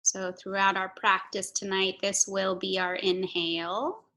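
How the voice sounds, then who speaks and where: Woman yoga teacher, really slow talking, lots of vocal fry, soothing breath cues. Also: medium pitch, level tone, sharp tone. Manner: soothing, measured cueing with audible breaths. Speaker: yoga instructor. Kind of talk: guided yoga and breathing instruction.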